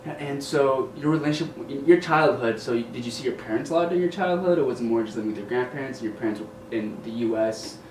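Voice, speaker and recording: Young male host, mid-pitched voice, eager and casual, heard from across an echoey room. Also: animated, fast, few pauses, darkish tone. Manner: eager, casual, stumbling over questions. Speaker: podcast host. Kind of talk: casual interview. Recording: echoey room, mic at a distance, faint noise.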